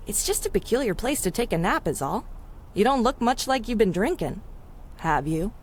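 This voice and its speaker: A woman's voice: mid-range voice, sing-song, normal speed, slight background noise, lightly acted. A woman playing a plucky, streetwise city dweller with wry, colloquial speech in a 1930s-style radio drama. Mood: concerned, wry. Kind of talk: 1930s radio adventure serial acting.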